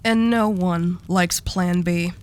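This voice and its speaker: A woman's voice: on the low side, steady tone, takes it slowly. A woman acting as a dominant female mafia boss in an intimate audio roleplay, composed, teasing and coolly seductive. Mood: cool, scheming. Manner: teasing, condescending endearments, composed.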